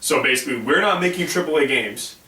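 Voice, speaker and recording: A hoarse man with a bright tone delivers blunt, dismissive takes on a casual gaming podcast. He is a little off-mic in an echoey room. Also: fairly high pitch, animated, quick pace, recorded from a distance. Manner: blunt and dismissive, reads news aloud. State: hoarse, losing his voice. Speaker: podcast co-host.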